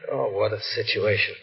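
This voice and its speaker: An actor playing an ordinary man caught up in a murder mix-up, in 1940s radio style with a slightly rough voice. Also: fairly low pitch. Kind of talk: 1940s radio drama acting. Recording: muffled vintage radio recording.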